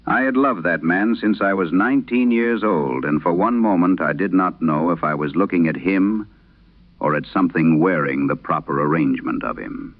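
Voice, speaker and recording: A man narrating a vintage radio horror drama as its host, reading in a grave, deliberate, dramatic manner. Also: low-pitched, no room sound. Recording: narrow-band vintage radio sound.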